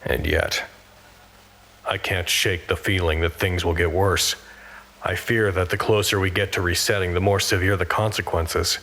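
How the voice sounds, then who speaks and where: An actor playing an anxious, weary researcher who narrates a log in a measured, wry way for an audio drama. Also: male voice, low-pitched, bright, forceful tone, exaggerated voice. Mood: weary, uneasy.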